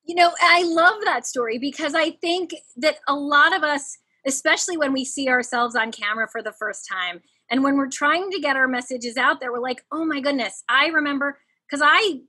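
Bright high-voiced woman, animated and laughing. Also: moderate pace, few pauses. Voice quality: higher-pitched, youthful-sounding. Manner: expressive, laughs often, animated. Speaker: coach. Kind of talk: casual podcast conversation.

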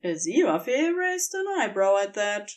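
A woman's voice: medium pitch, big pitch swings, moderate pace. A woman reading scripted fiction as the narrator, telling a story.

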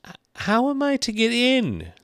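A man's voice: fairly high pitch, wildly swooping pitch, normal speed, no room sound, studio quality, pitched above the performer's own voice. A man voicing a polite, easily exasperated little girl in a storybook reading, earnest and questioning. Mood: puzzled.

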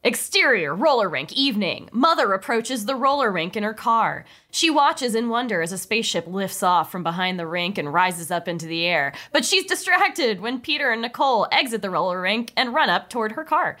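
A woman's voice: medium pitch, sing-song, normal speed, few pauses, pushed and bright. A woman reading stage directions in an amused way as the narrator of a comedic script table read.

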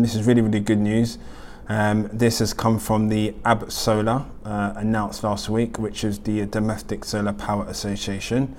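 A man's voice: lowish voice, darkish tone, slight background noise. A man hosting a weekly news roundup, reading the items with many ums and uhs. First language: not German. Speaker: host.

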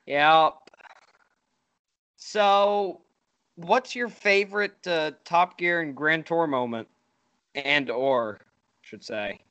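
Bright-voiced male podcast host. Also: on the high side, drawn-out words, pauses often, smooth, no echo. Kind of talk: casual interview chat.

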